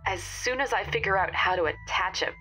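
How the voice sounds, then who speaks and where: A woman's voice: medium pitch, a little fast, sharp tone, faint music behind, close to an everyday voice, poor audio. A woman playing a helpful, slightly old-fashioned informant in an audio fiction podcast, chatty and confiding.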